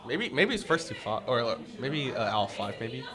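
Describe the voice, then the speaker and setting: A man's voice: medium pitch, big pitch swings, normal speed, over a noisy crowd. A young man co-casting a college esports match. He jokes around and banters with his partner. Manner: joking, playful banter. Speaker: esports co-caster. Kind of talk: casual esports color commentary.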